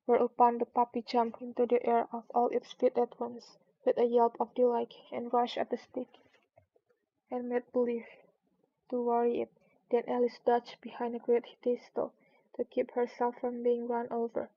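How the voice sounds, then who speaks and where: Creaky, flat-toned woman reading a storybook. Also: fairly high pitch, moderate pace, highs cut off. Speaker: streamer reading books aloud. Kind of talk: read-aloud storybook narration.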